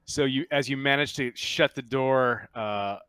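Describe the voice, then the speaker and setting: A man working as game master, narrating a tabletop game in a halting, conversational, improvised way. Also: medium pitch, a bit bright, clear, resonant tone, no echo.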